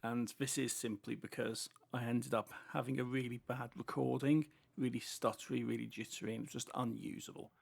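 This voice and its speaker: A British man with a hoarse, fading voice and hissy S sounds, giving casual running commentary on a racing game as he drives. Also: medium pitch, level tone, normal speed, hardly any pauses. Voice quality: heavy sibilant S sounds. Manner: chatty running commentary while driving. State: voice going hoarse from a cold. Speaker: gaming channel host.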